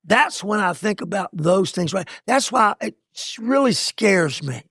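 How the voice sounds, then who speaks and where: Raspy, high-voiced coach preaching grit. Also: male voice, animated, unhurried, no room sound, clean studio sound. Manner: punchy, preachy motivational talk with sudden loud bursts. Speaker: podcast co-host, coach. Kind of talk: casual podcast chat.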